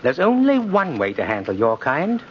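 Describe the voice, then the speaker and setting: An actor playing a kindly, spirited old Santa Claus in a 1950s radio drama, speaking in a genteel, emphatic, theatrical way. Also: male voice, fairly high pitch, wildly swooping pitch, normal speed. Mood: indignant. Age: older. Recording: muffled old radio broadcast, faint noise.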